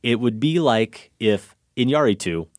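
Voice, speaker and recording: A man's voice: medium pitch, lively intonation, a little slow, a little mellow, dry recording. A man co-hosting a film review podcast, introducing films and giving dry, sardonic takes. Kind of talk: casual film review chat.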